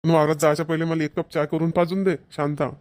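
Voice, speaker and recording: A man's voice: on the high side, level tone, fast talker, darkish tone, lightly acted. A man voicing an agreeable, easygoing middle-aged farmer husband in a cartoon comedy, with a rural Maharashtrian Varhadi accent. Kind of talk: Marathi cartoon comedy voice acting.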